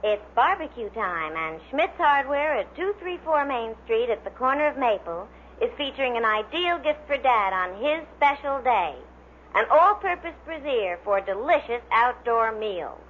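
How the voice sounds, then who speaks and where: A woman's voice: fairly high pitch, sing-song, normal speed, fairly bright tone. A woman working as a radio announcer, reading scripted items in a vintage broadcast style. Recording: dull old radio recording with hiss.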